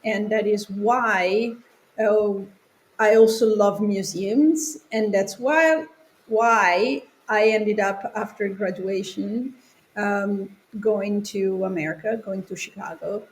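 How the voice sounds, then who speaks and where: Italian-accented woman in her forties, halting phrasing. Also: medium pitch, rough recording. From Italy. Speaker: podcast guest, children's author. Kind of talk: conversational interview answers.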